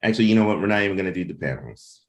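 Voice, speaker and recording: A man hosting a livestreamed table read in a casual, informal way, pausing between short phrases. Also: low voice, animated, muffled recording. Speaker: host, comic book creator and narrator.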